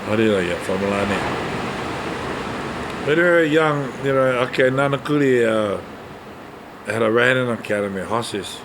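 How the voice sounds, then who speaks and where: A man in his eighties from Hawaii, with a Hawaiian Pidgin accent, speaking in a relaxed, chuckling way in an interview. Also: mid-range voice, background noise. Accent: Hawaiian Pidgin English. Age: 80s. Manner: slow, easygoing, chuckling. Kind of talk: casual interview talk.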